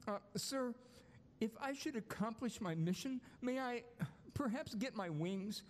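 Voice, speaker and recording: Apprentice angel acted on stage, meek, eager, polite; big-hall echo. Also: male voice, very high voice, lively intonation, measured pace. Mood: eager, flustered. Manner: polite, flustered, deferential. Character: eager, meek, two-hundred-year-old apprentice angel. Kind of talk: stage radio-play acting. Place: school auditorium stage. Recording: reverberant hall with music.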